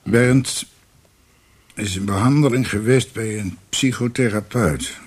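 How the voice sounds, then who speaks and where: An actor playing a guilt-ridden older former judge in a radio drama, husky, halting and formal, a proud and guarded father. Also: fairly low pitch. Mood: guarded, defensive.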